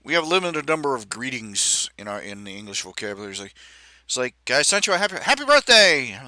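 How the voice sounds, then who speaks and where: A man in his forties chatting casually on a live stream, rambling and grumbling in a self-deprecating way while he fiddles with software. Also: mid-range voice, big pitch swings, fast talker, no echo. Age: 40s.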